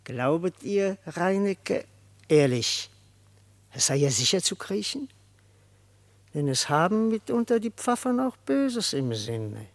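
An actor playing an earnest, gullible tomcat messenger in a verse radio play, declaiming formally. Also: male voice, sits high, sing-song, takes it slowly, exaggerated voice. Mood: wary. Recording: long hall-like reverb.

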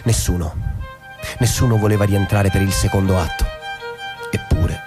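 Guy playing a disillusioned restless husband, stage drama, deep-ish voice, bit of music under him. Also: steady tone, moderate pace, natural voice. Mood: grave narrating. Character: restless disillusioned American husband, intense and bitter. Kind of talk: stage-drama acting with literary monologues. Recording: music behind the voice.

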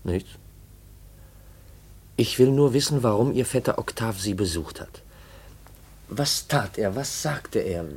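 Young man, very low husky voice, earnest, brooding, halting, intense, vintage radio drama. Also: lively intonation, a little mellow, full character voice. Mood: polite, probing. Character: earnest, brooding young nephew searching for his dead uncle's secret. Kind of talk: 1950s German radio play acting. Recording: 1950s radio drama recording.

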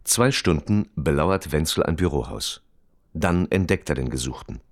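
Male radio-play reporter, deep voice, lively intonation, sober and precise, dry close studio sound. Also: moderate pace, no room sound. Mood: sober, factual. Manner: precise, formal, matter-of-fact. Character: sober male reporter narrating a crime case. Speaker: narrator of a radio crime play. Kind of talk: sober documentary-style radio report narration.

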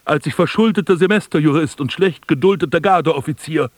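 An actor playing a proud, disillusioned prince in a literary radio drama, measured, dry and reproachful. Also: male voice, fairly high pitch, no echo, close to an everyday voice. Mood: bitter, reproachful. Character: proud, sardonic, disillusioned prince, likened to a tree.

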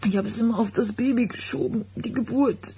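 An actress playing an anxious, hurt pregnant wife in a German radio drama. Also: female voice, a little high, fairly even intonation, a little fast, creaky, mellow, a little hiss or hum. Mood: troubled, hesitant.

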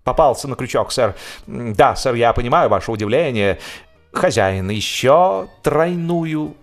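A man's voice: medium pitch, expressive, a little slow, soft background music. A man puts on a seasoned angler telling tall tales in a comic radio play. He is smug and self-important, with a leisurely, repetitive delivery and sweeping intonation. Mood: excited boasting. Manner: leisurely, self-important, feigned reluctance, repeats himself.

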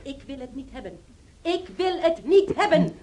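A woman's voice: sits high, very animated, normal speed, dark tone. An actress playing a strict, domineering, pious mother in a radio drama, curt and commanding. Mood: stern.

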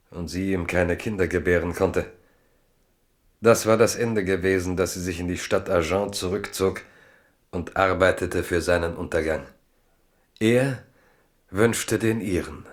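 Low-pitched male literary narrator. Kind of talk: literary scripted narration in a 1970s German radio drama.

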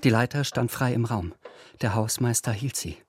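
A man's voice: mid-range voice, normal speed, husky, no room sound, studio quality. A man narrating a radio play in a scripted, literary style. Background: music.

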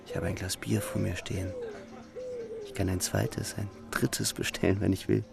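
War-weary young soldier, radio drama monologue, gravelly, brooding, music underneath. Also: male voice, sits low, fairly even intonation, normal speed. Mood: quiet relief.

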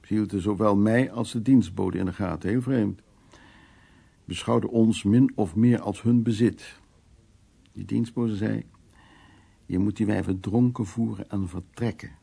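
A blunt, worldly storyteller in a radio play. His low, gravelly, husky voice carries an earthy and wry monologue about his own past. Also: warm tone, full character voice. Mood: wry recounting, then urgent quoting. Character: worldly, blunt man recounting wartime escapades in Berlin.

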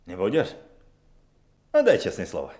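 A man's voice: on the low side, huge pitch swings, moderate pace, a little mellow, reverberant. A man playing a wheedling, blustering young prankster detective in a Soviet radio drama, with a whiny, cajoling manner.

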